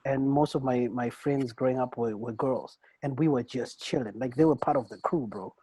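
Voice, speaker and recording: A man co-hosting a casual podcast chat, rambling and halting with many restarts and "bro"s. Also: medium pitch, mellow, husky, no echo, rough recording. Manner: rambling and halting, many restarts, peppered with "bro" and "you know what I'm saying". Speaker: co-host.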